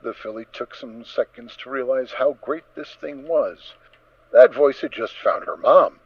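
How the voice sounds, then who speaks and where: A man narrating a story as a dramatic reading, in an expressive, theatrical manner. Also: mid-range voice, a little slow, husky, dry recording. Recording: slightly dull, dry close recording.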